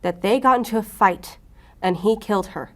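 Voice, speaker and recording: An actress playing a driven, short-tempered special agent in an audio drama, blunt and confrontational. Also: female voice, medium pitch, normal speed, close to an everyday voice. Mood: pressing, accusing. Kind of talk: audio fiction acting.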